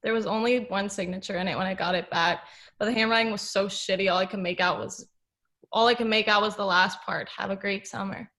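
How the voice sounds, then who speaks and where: A woman reading the part of a lonely, grieving teenage girl at a table read, talking quietly to the camera. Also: mid-range voice, fairly even intonation, a little fast. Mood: confiding. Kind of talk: table read of a film script.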